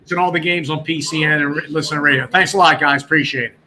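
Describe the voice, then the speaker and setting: A man's voice: on the high side, fairly even intonation, fast, a crowd in the background. A man, a former radio broadcaster talking as a guest in a rambling, chatty way. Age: 60s. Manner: rambling, chatty, many restarts. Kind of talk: casual chat on a call-in show.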